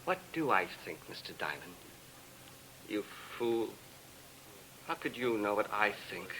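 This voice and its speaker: An actor playing an unhinged, grandiose criminal in a 1950s radio drama, coldly polite yet prone to bitter ranting. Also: male voice, fairly low pitch, sing-song, unhurried, a bit bright, a little hiss or hum, full character voice, low-quality recording. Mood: cold contempt. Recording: old 1950s radio broadcast recording.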